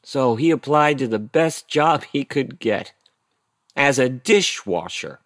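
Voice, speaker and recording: A man's voice: mid-range voice, animated, slow, dry recording, studio quality. An actor in an audio play, playing a stern, indignant middle-aged father who speaks bluntly and emphatically. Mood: indignant, bitter. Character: stern, indignant immigrant-family father, engineer.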